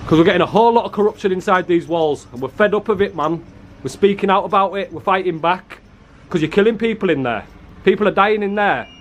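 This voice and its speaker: A loud, confrontational man with a fairly high voice argues with people he films outside a prison. He talks in a rough, challenging way, and the sound comes from a handheld camera mic outdoors. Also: slight background noise. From England. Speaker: auditor filming outside a prison. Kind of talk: confrontational street filming. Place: outdoors by a prison car park.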